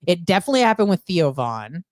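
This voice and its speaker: A woman's voice: lowish voice, big pitch swings, fast, no echo, studio quality. An American woman chatting casually as a podcast co-host, acting out quotes as she tells things. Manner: conversational, peppered with "like" and acted-out quotes. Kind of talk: casual chat.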